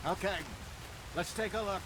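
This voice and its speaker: Seasoned outlaw played by a man, high-pitched and wry. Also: fairly even intonation, normal speed, background noise, lightly acted. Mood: practical. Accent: American. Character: seasoned older male outlaw, wry, practical, frankly critical. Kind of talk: naturalistic game cutscene acting.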